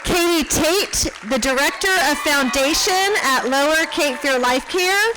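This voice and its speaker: A woman emceeing an awards ceremony, announcing the finalists' names and titles clearly. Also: high voice, measured pace. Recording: crowd chatter and noise in event hall.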